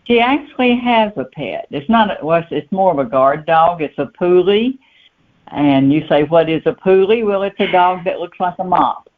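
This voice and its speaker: A woman's voice: fairly low pitch, expressive, moderate pace. Woman novelist on a tinny phone line. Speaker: novelist guest being interviewed. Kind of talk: casual, anecdotal interview chat.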